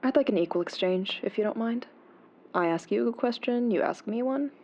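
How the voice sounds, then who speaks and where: A woman's voice: a little high, moderate pace, darkish tone. A secretive female informant in an audio drama. She sounds controlled, terse and slyly guarded, heard through a dull, muffled phone line. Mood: coolly teasing. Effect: phone-call filter.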